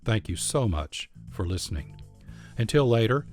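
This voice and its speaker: An American man hosting a radio program, reading scripted narration in a measured, clearly phrased manner. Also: lowish voice, very animated, darkish tone. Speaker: radio host and producer. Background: music.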